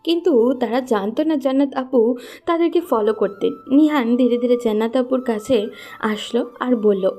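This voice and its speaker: A woman's voice: fairly high pitch, moderate pace, dark tone. A woman narrating a scripted love story as its storyteller.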